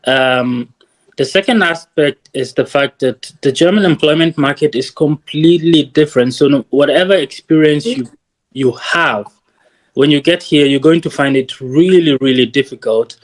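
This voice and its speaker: Measured man in his thirties. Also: on the high side. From Ghana. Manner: measured, thoughtful, frequent "um" pauses. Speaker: guest, doctoral student. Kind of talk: casual interview talk.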